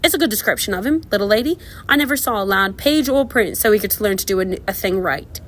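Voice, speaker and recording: A woman's voice: mid-range voice, expressive, fast talker, fairly bright tone. A woman reading aloud as a chatty, boastful middle-aged knight with a hearty, rambling manner.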